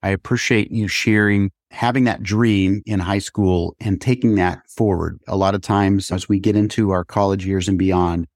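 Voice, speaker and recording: A man's voice: low voice, steady tone, moderate pace, hardly any pauses, a little mellow, no room sound, clean studio sound. A man hosting a business podcast, reading guest introductions and asking questions in an upbeat, friendly manner. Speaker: podcast host. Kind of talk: interview hosting.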